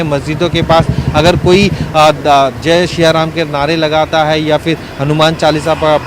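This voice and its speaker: A man reporting live from the field, speaking off the cuff and haltingly with frequent filled pauses. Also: on the high side. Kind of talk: unscripted live news report. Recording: outdoor city traffic noise.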